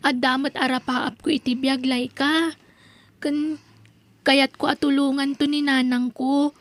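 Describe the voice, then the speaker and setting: A woman's voice: high-pitched, flat delivery, measured pace, no room sound. A woman playing a meek, grateful housemaid's daughter in an audio drama, polite, earnest and deferential. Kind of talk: Ilocano audio drama acting.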